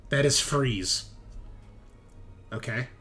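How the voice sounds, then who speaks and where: Slow, dramatic male game master. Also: mid-range voice, sharp tone. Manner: deliberate short phrases with suspenseful pauses. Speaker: game master of a tabletop roleplaying game. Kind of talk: dramatic improvised narration with table talk. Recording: music behind the voice. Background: background music.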